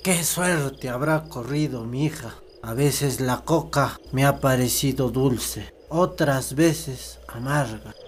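Guy acting slow bitter country dad from the andes, radio play, brooding, faint insect noise behind. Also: mid-range voice, lightly acted. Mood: brooding worry. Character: rustic Andean father, bitter, anguished over abducted daughter.

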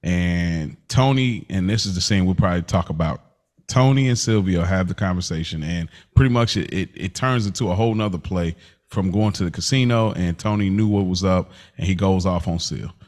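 A man hosting a podcast, giving a casual, loosely structured recap in a halting, informal way. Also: deep voice, a little mellow.

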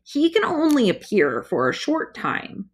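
A woman's voice: a little high, wildly swooping pitch, unhurried, husky, voice pushed up, full character voice. A woman voicing a tall, skinny rooster-footed male demon who chides and sneers at his companion. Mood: scornful. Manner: sneering, chiding.

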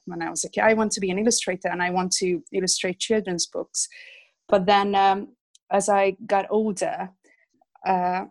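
A woman in her thirties from Hungary, a Hungarian speaker talking in English, is a guest illustrator chatting in an interview, with many fillers and soft laughs. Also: medium pitch, no echo. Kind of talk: casual interview chat.

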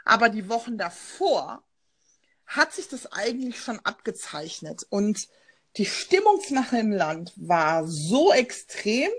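A woman's voice: mid-range voice, big pitch swings, takes it slowly, breathy edge. German woman talking in a podcast. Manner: thoughtful, personal, with frequent fillers. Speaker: podcast co-host, journalist. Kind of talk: casual conversational podcast.

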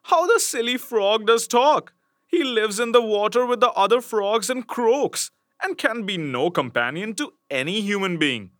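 A man doing a high young princess, spoiled and fickle. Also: measured pace, a bit bright, no room sound, clean studio sound. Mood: scornful.

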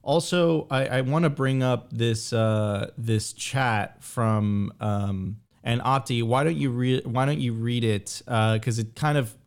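A man's voice: medium pitch, takes it slowly, few pauses, clean tone. A man hosting a podcast, eager and emphatic, who repeats phrases to urge viewers on. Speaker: podcast host. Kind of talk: casual podcast chat.

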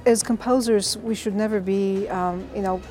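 A woman's voice: mid-range voice, moderate pace, faint music behind. A woman, a game composer telling her story with passion in an interview. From Bulgaria. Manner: passionate, lively storyteller with fillers. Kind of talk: casual interview answers.

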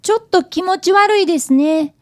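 A woman's voice: very high voice, moderate pace, darkish tone. A woman playing a prim, attentive detective's assistant, polite and matter-of-fact, in a comic radio drama.

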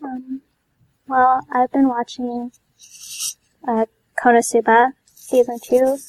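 A woman's voice: sits high, flat delivery, slow pace, darkish tone, low-quality recording. A woman in a casual chat as a podcast guest, speaking in a hesitant, tentative way. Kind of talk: casual chat about anime and manga.

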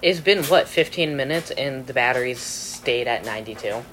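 A man's voice: medium pitch, lively intonation, normal speed, a bit bright, a little hiss or hum. A young man chatting casually while playing a game, rambling, trailing off mid-sentence and laughing often. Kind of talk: casual chat over a game.

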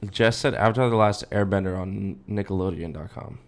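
A young adult American man with a fairly low-pitched voice. He talks informally as a podcast co-host, in loose, slangy language, often saying dude and bro. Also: fairly quick, noisy background. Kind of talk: casual chat.

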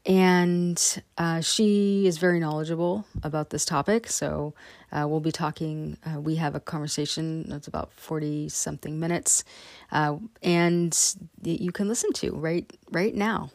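Low-voiced woman podcast host, lots of fry, rambly with ums, dry close sound. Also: fairly even intonation, a little slow, darkish tone, no room sound. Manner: rambling, many ums and restarts. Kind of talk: casual podcast intro and interview.

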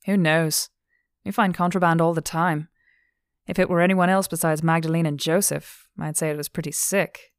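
A woman's voice: sits low, fairly even intonation, normal speed, dry recording. Narrator doing a young woman cop, blunt and stern, very dry audiobook recording. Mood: frank. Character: blunt, stern young female law officer.

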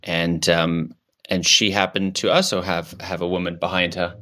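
A man's voice: sits low, flat delivery, moderate pace, bright, forceful tone. A man improvising a shy male politician who has no opinions, talking in hesitant, stammering restarts. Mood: evasive. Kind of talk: improvised comedy character.

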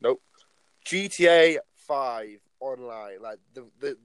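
A man's voice: mid-range voice, animated, takes it slowly, clear, resonant tone, dry recording. A nineteen-year-old man co-hosting a casual podcast, rambling along and filling his talk with "like" and "obviously". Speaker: podcast co-host.

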